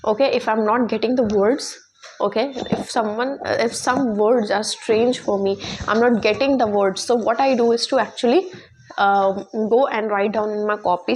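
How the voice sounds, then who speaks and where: A woman's voice: a little high, a little hiss or hum. A woman with an Indian accent giving an informal English lesson, often repeating herself and checking in with "okay" and "right". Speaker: online English teacher and YouTuber.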